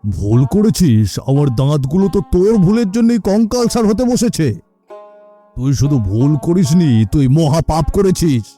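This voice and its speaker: Furious, high-pitched vengeful village man. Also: very animated, normal speed, mellow, soft background music. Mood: indignant. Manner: threatening, indignant.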